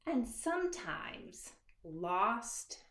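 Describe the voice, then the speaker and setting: In an echoey room, a woman reads a children's picture book at an unhurried pace. Her delivery is dramatic and expressive, stretching words and dropping to a hush, as if speaking straight to small children. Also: on the high side. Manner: pauses to address young listeners with questions. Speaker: author reading her own picture book. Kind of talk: expressive children's storybook read-aloud.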